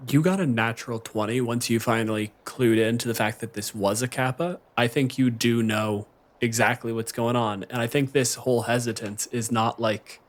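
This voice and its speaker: A man's voice: medium pitch, steady tone, moderate pace. A man co-hosting a podcast as game master, talking casually about the play. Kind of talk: casual tabletop game commentary.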